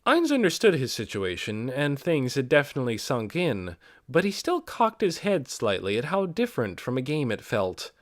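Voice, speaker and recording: A man reading a fantasy novel aloud as scripted audiobook narration, with expressive storytelling delivery. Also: mid-range voice, normal speed, dry recording, studio quality. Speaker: amateur audiobook reader.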